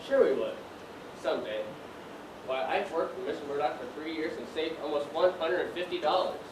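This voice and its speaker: A man's voice: fairly high pitch, normal speed, distant mic, lightly acted. A teenage male student acting as a dreamy, determined young factory worker in a stage play, with earnest, projected delivery. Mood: dreamy, hopeful. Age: teens. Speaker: student actor. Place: stage in a large room, distant mic. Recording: roomy, noisy stage recording from afar.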